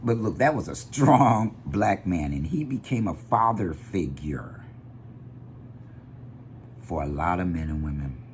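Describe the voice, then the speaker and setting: A man with a deep bass voice giving an animated, opinionated vlog monologue that drifts off on tangents. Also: slight background noise. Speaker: vlogger.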